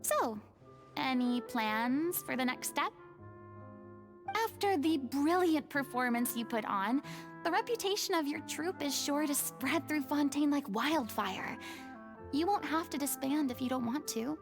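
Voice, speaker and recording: An actress voicing a poised, eloquent former goddess turned actress in a game, speaking in a formal, reflective way. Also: female voice, sits high, lively intonation, measured pace, over music. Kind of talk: game voice acting.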